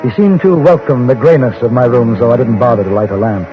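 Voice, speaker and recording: A man playing a composed gentleman newspaper correspondent, narrating an old-time radio western in measured, formal language. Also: mid-range voice, music in the background, close to an everyday voice. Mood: measured narration. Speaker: lead actor and narrator. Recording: dull vintage radio recording.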